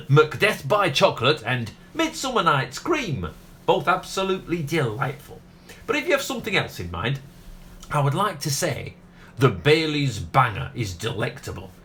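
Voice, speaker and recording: A man's voice: on the high side, sing-song, normal speed, sharp tone, slight background noise, exaggerated voice. A man playing a proud, rambling middle-aged ice cream parlour owner with a South Yorkshire accent in a comedy radio play. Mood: eager, boastful. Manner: garrulous, rambling, cheerfully talks over others. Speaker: actor.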